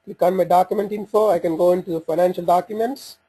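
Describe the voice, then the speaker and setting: Dark, husky-voiced man presenting a software demo. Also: high voice, fairly even intonation. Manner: halting, thinking aloud. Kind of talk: unscripted screencast walkthrough, talking through steps.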